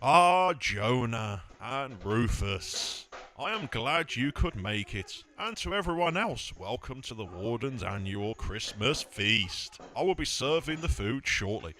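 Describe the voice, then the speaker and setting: A proud, touchy villain of a warden acted by a man for a comedy audio play. He speaks in a middling pitch with pompous, stubborn delivery. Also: very animated, measured pace, few pauses, bright, forceful tone. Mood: grandly hospitable. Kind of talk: amateur comedy audio drama acting.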